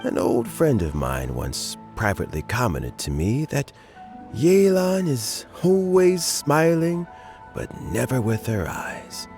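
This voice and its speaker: An older man playing a wise, measured elder adviser in a video game, deliberate, sage and expressive. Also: medium pitch, faint music behind. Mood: sage, reflective.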